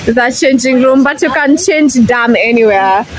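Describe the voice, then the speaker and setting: A woman's voice: sits high, expressive, moderate pace. A young woman with a Kenyan accent chatting casually for a vlog, mixing English and Swahili outdoors. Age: young adult. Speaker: vlogger. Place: outdoors at a forest campsite and riverside. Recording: noisy outdoor recording with ambient rush.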